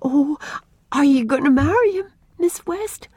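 A woman's voice: on the high side, a little slow, a little mellow, husky, dry recording, studio quality, voice pushed up. A woman voicing an earnest, timid young girl who pleads in a breathless, eager way. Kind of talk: audiobook character reading.

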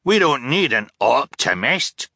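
A man performs a blustering submarine captain from a storybook. The voice leaps around in pitch with a hard, forceful edge, throwing out urgent, repeated exclamations in frantic bursts. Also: sing-song, takes it slowly, husky, no echo, clean studio sound, full character voice. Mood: commanding. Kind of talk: audiobook character voice.

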